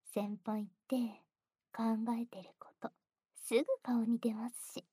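Actress as a teasing young junior: slow, husky whispers and giggles, fairly high voice. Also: female voice, level tone, warm tone, dry recording, studio quality, heavily acted. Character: teasing playful young woman, a junior to the listener. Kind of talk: whispered ASMR roleplay.

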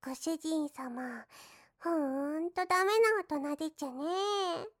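A woman's voice: very high-pitched, animated, slow, exaggerated voice. A woman voicing a young maid who teases her master in sing-song baby talk with lisped endings. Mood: scolding. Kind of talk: roleplay audio drama.

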